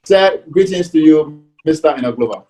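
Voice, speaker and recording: A man hosting an interview show, speaking in a formal, deliberate announcing manner. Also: fairly high pitch, animated, warm tone. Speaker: show host.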